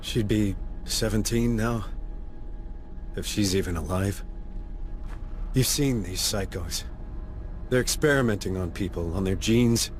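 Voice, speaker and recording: An actor playing a weary, sardonic middle-aged marine sergeant in a video game, with a gruff, wry, blunt manner. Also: male voice, fairly low pitch, unhurried, long pauses, a little hiss or hum, lightly acted. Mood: brooding, worried. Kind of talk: cinematic video game acting.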